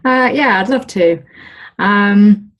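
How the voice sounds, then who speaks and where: A British woman talking casually in an interview as the owner of an olive grove, pausing often with ums and restarts. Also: mid-range voice. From United Kingdom. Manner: hesitant, many ums and restarts.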